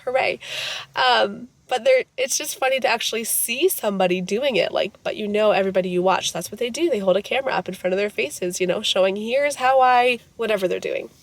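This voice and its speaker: A woman in her thirties, hoarse from a cold, chatting casually and rambling as she vlogs her day into a handheld camera. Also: medium pitch, brisk, no echo. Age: 30s. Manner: chatty, rambling, self-correcting. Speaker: vlogger and mother. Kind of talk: casual vlog chat.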